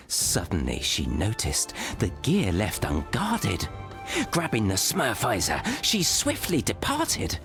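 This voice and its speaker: A cheerful little blue Smurf poet spinning a rhyming storybook tale. His pitch swoops wildly from low to high, and he lingers over every line at an unhurried pace. Soft guitar music plays beneath him. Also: exaggerated voice. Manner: theatrical, singsong, trails off into bashful asides. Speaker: narrator. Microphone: studio voice-over. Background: gentle guitar music.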